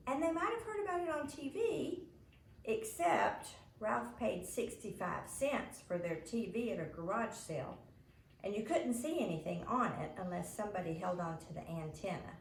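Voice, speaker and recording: Animated woman reading a storybook, echoey room. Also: on the low side. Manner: animated, dramatizing the characters' lines. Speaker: storybook reader. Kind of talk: expressive read-aloud of a children's novel, narrating as a child. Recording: echoey room with faint background noise.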